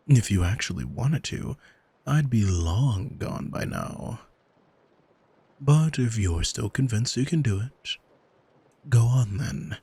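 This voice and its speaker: A man playing an arrogant, manipulative demon in an intimate ASMR roleplay, speaking in a soft, teasing, condescending and commanding way to the listener. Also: on the low side, breathy edge, no room sound, heavily acted. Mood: taunting, daring. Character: arrogant male demon, seductive, condescending, coolly manipulative captor. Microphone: close microphone, dry.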